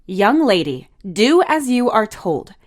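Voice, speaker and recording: A woman's voice: mid-range voice, big pitch swings, slow, voice pushed up. A woman voicing a stern, no-nonsense mother in a story, firm and scolding. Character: Lynn, stern no-nonsense mother of two teenagers.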